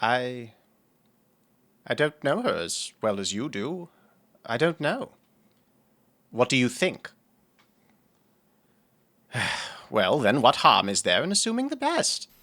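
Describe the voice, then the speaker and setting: An actor playing a doting, nerdy occult healer in an audio drama, speaking in a genteel, playful and formal way. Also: male voice, medium pitch, sing-song, slow, pauses often, bright, forceful tone, exaggerated voice. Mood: wry, concerned.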